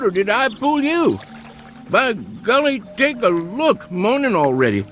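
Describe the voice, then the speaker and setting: Old country hunter, rural American, higher-pitched, folksy radio drama delivery over music. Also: male voice, exaggerated voice. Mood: relieved wonder. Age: older. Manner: rambling, folksy, talks to his dog. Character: folksy old backwoods hunter, fond of his hound.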